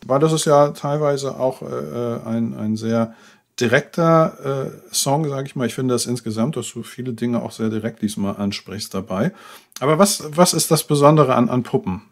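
Gravelly male music interviewer. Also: mid-range voice, normal speed. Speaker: radio show host, interviewer. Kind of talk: conversational music interview questions.

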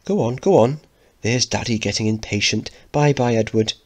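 A man's voice: medium pitch, normal speed, darkish tone, voice pushed up, close to an everyday voice. A man voicing a doting, fussing upper-class mother in a coaxing, fluttery manner, in a dramatic play reading. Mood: hurrying.

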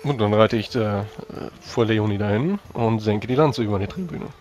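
A man's voice: lowish voice, normal speed, a little mellow. A man playing a tabletop role-playing game, casually describing and speaking his character's actions. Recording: music under the voice. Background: background music.

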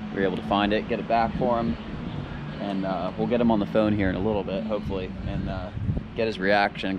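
A young man hosting a casual fishing vlog, talking excitedly to an action camera at a beach jetty. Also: on the low side. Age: young adult. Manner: excited, talks to camera while walking and wading. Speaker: fishing vlogger. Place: outdoors at a beach jetty, wind and surf. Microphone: action camera, often held close or head-mounted. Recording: outdoor wind and water noise.

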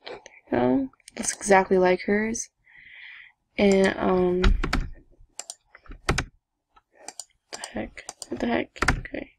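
A young woman chatting casually to viewers while she builds a game avatar, talking to herself with giggly asides in a low evening voice. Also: takes it slowly, gravelly, pauses often, background noise. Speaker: gaming vlogger. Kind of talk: casual screen-recording commentary.

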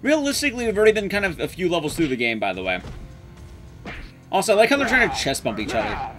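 Animated, high-pitched man streaming a game. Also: quick pace, faint music behind. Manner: casual, joking, swears mildly. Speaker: streamer and speedrunner. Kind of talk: live game commentary, chatting with viewers. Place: small indoor room at home, streaming.

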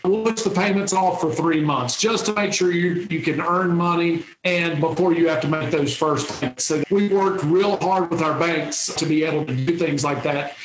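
A middle-aged man answering interview questions over a video call in an easygoing, folksy way from a home office. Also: fairly high pitch. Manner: easygoing, folksy, frequent restarts. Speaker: territory manager, interview guest. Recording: echoey room on a video call.

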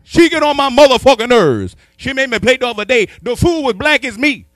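Casting: man in his thirties, stand-up comic from New York, loud and animated, handheld mic in a dry studio. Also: very high-pitched, brisk, no echo. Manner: loud, animated, shouts punchlines. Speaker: stand-up comedian. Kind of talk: stand-up routine. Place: small studio with sponsor backdrop. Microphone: handheld microphone.

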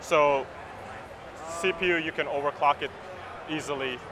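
A man's voice: fairly high pitch, normal speed, crowd noise, rough recording. A man in his thirties explaining a product at a trade show booth, earnest and agreeable, often repeating words as he explains. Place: busy expo hall floor, indoors. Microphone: camera mic, handheld close.

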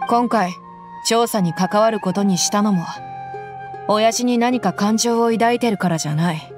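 Game mercenary, young woman, blunt and easygoing, rough casual delivery, actress. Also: mid-range voice, moderate pace, over music, lightly acted. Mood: tough. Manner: rough, casual, relaxed speech.

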